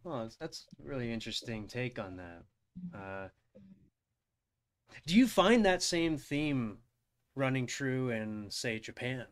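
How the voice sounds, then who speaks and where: A man's voice: medium pitch, big pitch swings, a little slow, long pauses. A man hosting a live Q&A, asking careful, probing questions and thinking them through as he speaks. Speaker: host and interviewer. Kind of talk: live Q&A interview.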